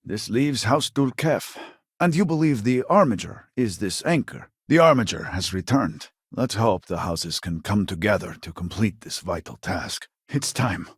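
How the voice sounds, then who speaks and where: A man's voice: fairly low pitch, animated, measured pace, breathy edge, dry recording, studio quality, exaggerated voice. An actor playing an elderly, proud and impatient male scientist in a video game, speaking in a formal, theatrical way. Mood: weary, grave. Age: older.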